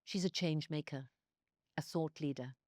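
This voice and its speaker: A middle-aged woman hosting an interview, reading a formal scripted introduction in a steady delivery. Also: low voice, moderate pace. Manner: steady formal delivery, quiet listening murmurs. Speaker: guest host, philanthropist.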